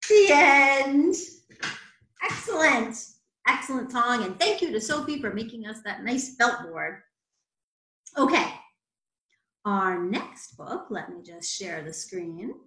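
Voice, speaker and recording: A woman's voice: medium pitch, expressive, unhurried, bright, forceful tone, roomy sound. A woman in her forties hosting a children's storytime, talking simply and expressively to kids as she reads picture books. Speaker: library storytime host. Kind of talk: children's picture-book read-aloud and casual talk. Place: indoor room at home. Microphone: computer mic on video call, arm's length.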